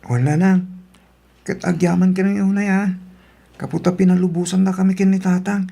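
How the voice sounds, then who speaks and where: A woman's voice: fairly low pitch, steady tone, moderate pace, vocal fry, dark tone. A woman playing a polite, hopeful teenage son from a province family, respectful and agreeable in an Ilocano radio drama. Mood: grateful.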